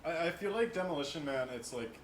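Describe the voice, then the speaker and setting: A man on a podcast panel, pitching and debating ideas in casual conversation. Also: lively intonation, a little fast. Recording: roomy sound with faint background noise.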